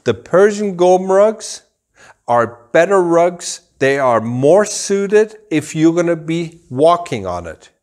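A man in his forties, raised in Germany, a rug dealer explaining things to camera in a showroom, slow and deliberate with gestures. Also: fairly high pitch, sing-song, a little mellow. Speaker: rug dealer and channel host. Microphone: camera at a few meters, full body framing.

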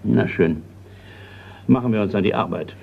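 An actor playing a courteous older retired colonel in a German radio crime play, measured and a little hesitant. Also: male voice, on the low side, vocal fry. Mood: businesslike, courteous. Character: retired colonel, older male art collector, courteous Scottish host. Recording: dull, noisy old radio recording.

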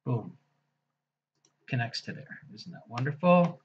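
Husky-voiced man, casual software tutor talking through clicks, dull lo-fi screen-capture recording. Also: medium pitch, very animated, measured pace. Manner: casual, thinking aloud while clicking through steps. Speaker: software instructor.

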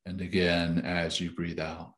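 Man, guided meditation leader, deep-pitched voice, unhurried pace, calm and soothing delivery. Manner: calm, soothing, deliberate instruction. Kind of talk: guided meditation, spoken instruction.

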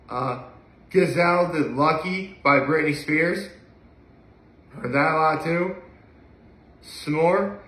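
A young man talks casually to a camera a few feet away. His voice is bright and forceful, pitched a little higher than most men's. He rambles with enthusiasm, and the room adds a clear echo. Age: young adult. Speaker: vlogger. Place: living room, indoors. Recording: echoey room, mic at a distance.

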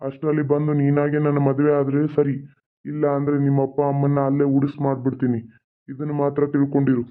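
A man's voice: mid-range voice, monotone, quick pace, lightly acted. A man voicing a scheming adult male village villain who mocks and threatens. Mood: threatening. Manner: sneering, mocking, menacing. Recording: tinny phone-like sound.

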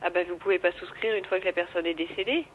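A woman's voice: lowish voice, steady tone, fast, breathy edge. A woman working as an office receptionist, explaining firmly and protestingly to a caller over a narrow telephone line.

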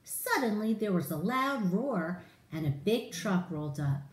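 A middle-aged woman reads a children's storybook aloud. Her delivery is very lively and speaks straight to the young listeners, with audible room echo around her voice. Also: mid-range voice, a little slow. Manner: animated, addressing children directly. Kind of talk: expressive read-aloud of a picture book to children. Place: room in a home, indoors.

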